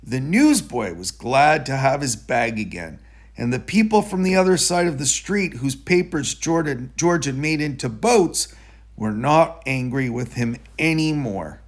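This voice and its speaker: A man reading a children's story aloud in an expressive storytelling style. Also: medium pitch, a little slow. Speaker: storybook reader.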